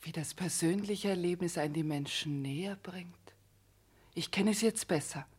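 An actress playing an elegant, inquisitive wife in a 1960s German radio play, with a theatrical, airy manner. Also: female voice, on the low side, moderate pace, a little mellow, husky. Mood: admiring. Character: elegant wife humouring her pompous husband, inquisitive, dramatic.

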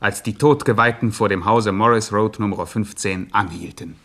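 Casting: mock-epic radio narrator, lowish voice, grave and theatrical. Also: male voice, moderate pace, a bit bright. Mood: dramatic. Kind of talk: scripted dramatic narration.

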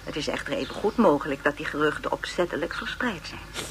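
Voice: medium pitch, expressive, a bit bright, a little hiss or hum. An actor in a radio play playing a pompous, erudite lecturer narrating an educational film in formal phrasing. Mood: pompous, lecturing. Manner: measured phrasing, pompous, donnish.

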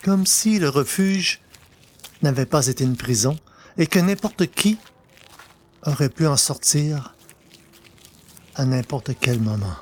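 A man narrating a literary story in French audio fiction, deliberately, pausing between short phrases. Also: fairly high pitch, expressive, slight background noise.

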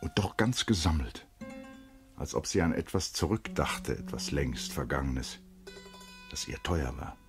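A man's voice: very deep voice, lively intonation, a little slow, over music. A man narrating a radio play as a grave, observant literary storyteller.

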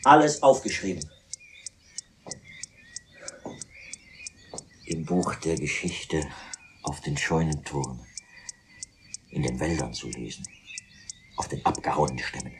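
An actor playing a brooding male private tutor who tells of revolution with passion, in a literary radio drama, his voice husky. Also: very deep voice, big pitch swings, measured pace, pauses often, slight background noise, exaggerated voice. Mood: brooding, subdued.

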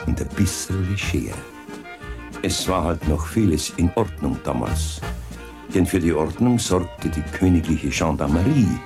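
An actor playing a nostalgic storyteller with a Bavarian accent, narrating a radio play in a savouring, wry manner. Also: male voice, low voice, vocal fry, full character voice. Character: nostalgic, leisurely storyteller of old royal Bavaria.

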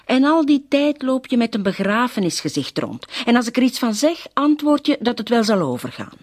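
A woman's voice: a little high, very animated, moderate pace, no room sound, clean studio sound. A woman playing a suspicious, needling wife in a radio drama, with a pointed, questioning way of talking.

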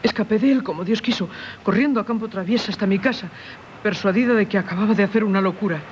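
Actress playing a tormented Castilian wife, dull radio sound. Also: female voice, mid-range voice, fast talker, darkish tone, lightly acted. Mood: haunted, narrating. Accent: Castilian Spanish. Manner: solemn, confessional, theatrical delivery. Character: tormented married woman haunted by a former lover. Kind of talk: 1970s radio theatre acting. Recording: dull, noisy 1970s radio recording.

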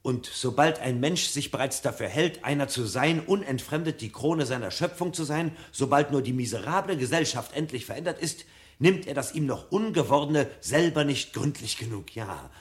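An actor playing a brooding, restless, insistent husband who secretly devours forbidden books, in a 1970 German radio drama. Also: male voice, mid-range voice, lively intonation, normal speed, lightly acted. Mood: earnest reading. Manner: insistent, shifting from musing to exasperated.

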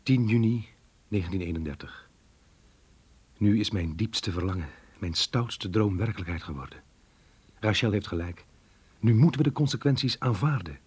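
An actor playing a troubled, self-reproaching married man, confiding in his diary in a radio drama with a breathy, husky voice. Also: lowish voice, animated, moderate pace, long pauses, darkish tone. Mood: troubled, resigned.

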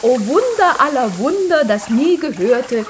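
An actress playing a thoughtful woman, musing and quoting slowly in a 1950s radio drama. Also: sits high, big pitch swings, a little hiss or hum. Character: Fatime, thoughtful woman recalling a prophet.

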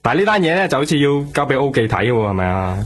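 An actor with a Hong Kong Cantonese accent playing an easygoing police colleague in casual radio drama dialogue. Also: male voice, medium pitch, sing-song, racing, soft background music, close to an everyday voice. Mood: matter-of-fact. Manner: casual, colloquial. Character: easygoing, chatty male police colleague, family man.

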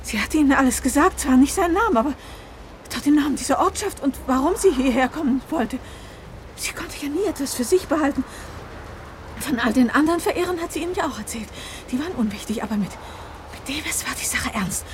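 A woman's voice: sits high, animated, brisk, slight background noise. A woman playing a shrewd, agitated woman novelist turned sleuth in a German radio drama, speaking urgently and emphatically. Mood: dawning realisation, accusing.

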